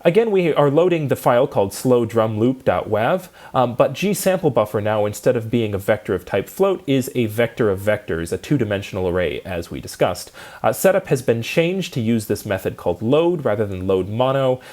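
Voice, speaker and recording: Male lecturer, mid-pitched voice, explanatory and methodical instructional delivery. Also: expressive, fairly quick, a little mellow.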